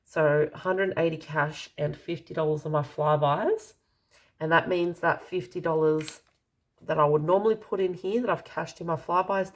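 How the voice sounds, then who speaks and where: An Australian woman with a slightly croaky, dry voice, casually narrating as she counts out cash in an unhurried way. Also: low-pitched. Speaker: budgeting vlogger.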